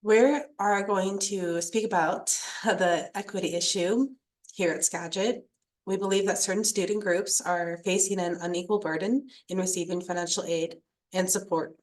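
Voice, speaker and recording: Uma estudante apresentando um trabalho numa videochamada, de forma simpática e direta, contando a própria experiência. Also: female voice, on the low side, steady tone, normal speed. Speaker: student presenter. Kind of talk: presentation on a video call.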